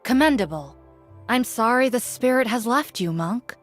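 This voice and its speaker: An actress playing a stern, ancient woman warrior in a video game, speaking in a formal, measured and solemn way. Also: fairly high pitch, animated, faint music behind. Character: stern, ancient female spirit-realm warrior, solemn and judging. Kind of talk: scripted game character voice lines.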